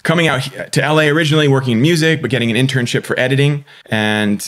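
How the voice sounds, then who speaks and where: A man's voice: mid-range voice, steady tone, very fast, a bit bright. A man in his thirties, a filmmaker guest telling his story in a casual, rambling interview. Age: 30s.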